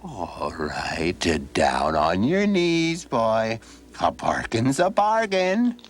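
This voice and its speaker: A man voicing a crafty old gentleman who hires farmhands, speaking in a drawn-out, wheedling folksy way. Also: fairly low pitch, wildly swooping pitch, pitched above the performer's own voice, exaggerated voice. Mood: teasing. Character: sly old man employer, crafty bargainer.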